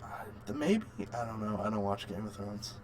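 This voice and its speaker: A man co-hosting a casual film review chat, halting and repeating words as he argues his point. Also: on the low side, darkish tone. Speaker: podcast co-host.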